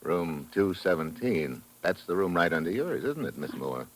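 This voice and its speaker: A cool, hard-boiled investigator in a vintage radio drama. His voice sits very low, and he speaks in a dry, understated way, whether questioning someone or narrating his case. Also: animated, moderate pace, a little hiss or hum. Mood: casual, suspicious.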